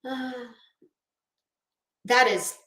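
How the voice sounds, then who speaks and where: A woman's voice: sits high, drawn-out words, a bit bright. A middle-aged woman giving an unscripted, rambling commentary rant in a sardonic, scornful, sweary manner. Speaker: online commentary vlogger. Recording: echoey room.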